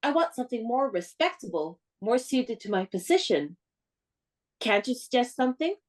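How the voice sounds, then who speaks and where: A woman's voice: on the high side, sing-song, moderate pace. An actress playing a spirited, playful, bossy young woman in a radio play, with a theatrical, instructing manner. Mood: breezy, decisive.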